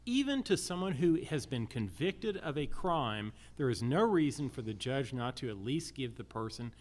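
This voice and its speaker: Deliberate, emphatic American man at a forum. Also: mid-range voice, very animated. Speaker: judicial candidate. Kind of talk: candidate forum speech.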